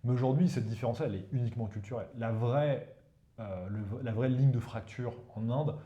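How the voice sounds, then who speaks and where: Fast-talking man, roomy sound. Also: mid-range voice, mellow. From India, Ganges plain. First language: Hindi. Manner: talks fast and explanatory, tags sentences with "you see". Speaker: guest expert, professor. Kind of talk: casual interview talk. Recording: roomy echo.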